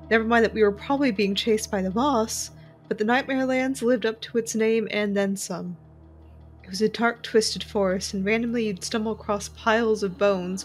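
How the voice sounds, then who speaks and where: A woman's voice: medium pitch, lightly acted. A woman playing a tense, watchful young intelligence agent, narrating her own story in an audio drama. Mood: grim, tense. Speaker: narrator and lead character. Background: music.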